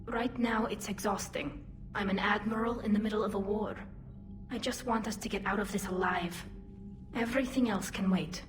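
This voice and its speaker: An actress playing a young, modest, war-weary alien admiral in a video game. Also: female voice, medium pitch, steady tone, moderate pace, music in the background, close to an everyday voice.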